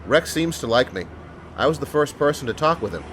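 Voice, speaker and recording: A man playing a calm, sincere and determined police sergeant in an audio drama. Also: medium pitch, brisk, a little hiss or hum. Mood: pleading, determined.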